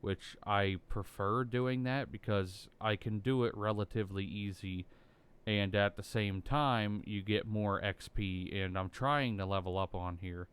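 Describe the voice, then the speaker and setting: A young American man with a deep, bass-heavy voice and a smooth, clear tone. He rambles casually while gaming, stringing thoughts together with frequent ums, likes and restarts. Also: steady tone, measured pace, fairly bright tone. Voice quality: bassy voice. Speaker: gaming YouTuber. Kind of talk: casual gameplay commentary.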